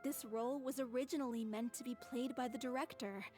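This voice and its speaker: A woman's voice: fairly high pitch, steady tone, a little fast, dark tone, lightly acted. A woman voicing a polite, sincere young member of a theatre troupe in an anime-style video game. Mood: polite, earnest. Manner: courteous, sincere. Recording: game music behind the voice.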